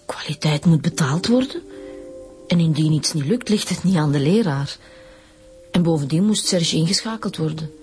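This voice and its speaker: An actress playing a proud, haughty singing teacher, speaking with theatrical dignity in a radio crime drama. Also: female voice, low-pitched, lively intonation, normal speed, a little mellow, soft background music.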